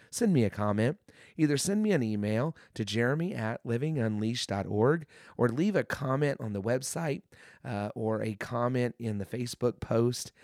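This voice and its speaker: Hoarse, gravelly man hosting a podcast. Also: medium pitch, a little mellow, no echo, studio quality. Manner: earnest, encouraging, repeats phrases. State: hoarse from a cold. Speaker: podcast host. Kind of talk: devotional podcast talk.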